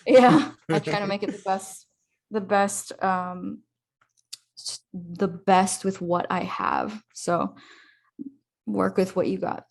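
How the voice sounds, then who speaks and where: A woman's voice: medium pitch, expressive, slow, gravelly, darkish tone. A young woman, a guest artist on a podcast, earnestly giving advice and repeating key phrases. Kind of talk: casual interview chat.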